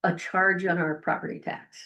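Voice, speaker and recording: An older woman speaks up at a county meeting, loud and folksy, wandering through her point. Her voice sits a little low for a woman. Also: flat delivery, normal speed, low-quality recording. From Eldora, Iowa. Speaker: resident giving public comment. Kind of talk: public comment at a county meeting.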